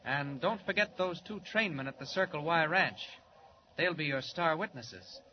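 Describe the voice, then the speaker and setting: An actor playing a polite, eager young reporter in a 1940s radio drama, deferential in manner. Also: male voice, fairly high pitch, lively intonation, normal speed, lightly acted. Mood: polite, knowing. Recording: muffled noisy old radio recording.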